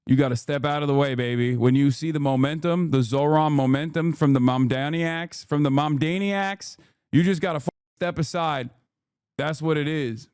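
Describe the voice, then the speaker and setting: A man with a Texan accent hosting a livestream, riffing in a casual, excitable, profane way and often repeating himself. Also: on the high side, moderate pace, smooth, dry recording, clean studio sound. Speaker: livestream host.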